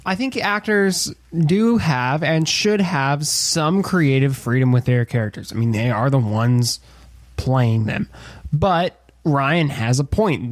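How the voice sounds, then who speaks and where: A man's voice: mid-range voice, dry recording. A man co-hosting a casual podcast chat, thinking aloud as he weighs his opinion. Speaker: podcast co-host.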